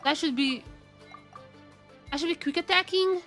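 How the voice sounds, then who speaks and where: A woman game streamer giving casual, expressive live commentary, often repeating her phrases. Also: very high voice, a little slow, faint music behind.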